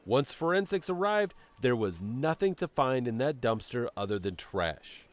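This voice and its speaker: A man's voice: medium pitch, sing-song, normal speed, dry recording, lightly acted. A man acting as a stern, condescending police commissioner in an audio drama, sardonic and authoritative with formal phrasing. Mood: defensive, exasperated. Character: stern, bureaucratic male police commissioner, condescending to his former partner.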